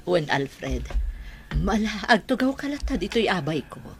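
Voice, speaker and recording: An actress with a Filipino accent playing a devoted older bride-to-be in a sentimental radio drama, gentle and heartfelt. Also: female voice, mid-range voice, big pitch swings, slow, slight background noise. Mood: tender joy.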